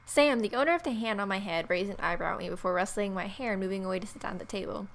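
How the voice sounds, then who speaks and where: A woman's voice: medium pitch, very fast, vocal fry. A woman hosting a let's play, reading game narration expressively and adding playful, wry casual asides. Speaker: gamer, video host.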